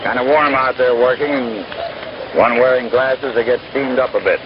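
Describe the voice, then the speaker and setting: Through loud noise and a dull, scratchy vintage broadcast comes an American man announcing baseball. His pitch is middling for a man. He gives folksy, wry running commentary in the manner of 1930s and 40s radio. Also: fairly even intonation, moderate pace.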